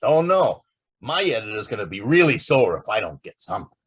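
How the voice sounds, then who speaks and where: A man playing a determined, wisecracking newspaper reporter with a husky voice in an old time radio drama. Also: fairly low pitch, sing-song, brisk, fairly bright tone, heavily acted. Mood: frustrated.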